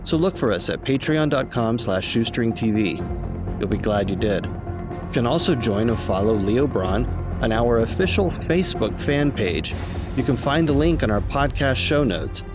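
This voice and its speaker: Male announcer over clear music. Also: mid-range voice, lively intonation, normal speed. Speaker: show's writer, producer and announcer. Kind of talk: scripted credits and promo announcing.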